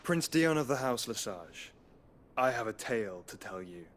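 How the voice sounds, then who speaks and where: A man's voice: medium pitch, expressive, moderate pace, a bit bright, slight background noise, close to an everyday voice. A man acting a courteous, weary nobleman in a dramatic video game, polite and understated. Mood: quietly earnest. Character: courteous, weary nobleman long thought dead.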